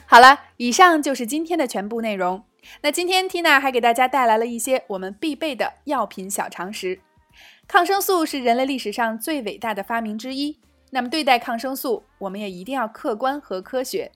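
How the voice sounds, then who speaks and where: A woman who is a native Mandarin speaker presents a language lesson in an upbeat, scripted manner. Her voice sits a little high. Soft music plays faintly behind her. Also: animated, fairly quick. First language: Mandarin Chinese. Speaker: host and language teacher.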